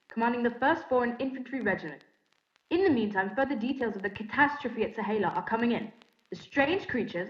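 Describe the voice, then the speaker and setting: A muffled, echoey recording of a formal radio announcer acted by a woman. She reads grave bulletins quickly in a fairly high voice, in the scripted style of a vintage radio play. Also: close to an everyday voice. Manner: formal, measured wording, urgent delivery.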